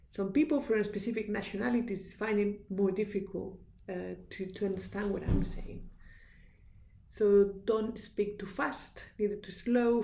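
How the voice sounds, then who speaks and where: A woman's voice: medium pitch, creaky, warm tone, reverberant. A woman with a strong Spanish accent, a native Spanish speaker, giving an earnest, instructive university lecture with small restarts and fillers. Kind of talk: recorded lecture.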